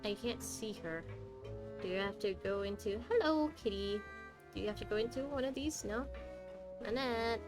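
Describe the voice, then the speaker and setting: A woman's voice: medium pitch, moderate pace. Chatty woman streamer over faint music. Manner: chatty, musing aloud in questions. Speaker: game streamer. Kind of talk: casual live gameplay commentary. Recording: faint game music behind.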